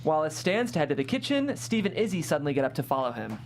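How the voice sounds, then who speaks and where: Animated script narrator reading stage directions. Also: mid-range voice, fast, soft background music.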